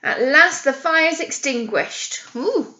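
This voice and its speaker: Female nursery teacher, storytime read-aloud. Slow, very bright delivery, teacherly and expressive, on a slightly dull lo-fi recording. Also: sits high. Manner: expressive teacherly, addressing children with questions and emphasised key words. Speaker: nursery teacher reading to children. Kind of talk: picture-book read-aloud with simple explanations for young children.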